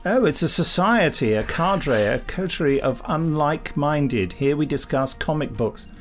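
A man's voice: fairly high pitch, expressive, moderate pace. British man, podcast co-host, dryly humorous, conversational, music in the background. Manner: dry, wry humour. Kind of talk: casual chat.

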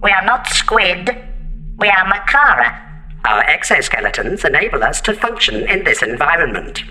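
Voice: mid-range voice, sing-song, moderate pace, sharp tone, rough recording. An actor playing a literal-minded squid alien in an armoured exoskeleton for a science fiction audio drama, formal and apologetic, with an electronically processed voice. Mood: formal, flat. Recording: music behind.

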